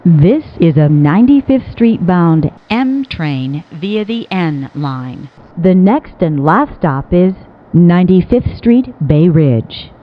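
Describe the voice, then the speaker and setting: A woman reading scripted automated subway announcements in a measured, clearly enunciated way, with phrases pieced together. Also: on the low side, lively intonation. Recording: dull lo-fi recording.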